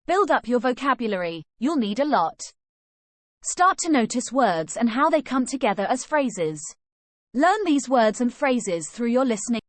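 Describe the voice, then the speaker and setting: A woman's voice: on the high side, no echo. A woman reading a scripted language lesson aloud, moving from sample questions and answers to study tips.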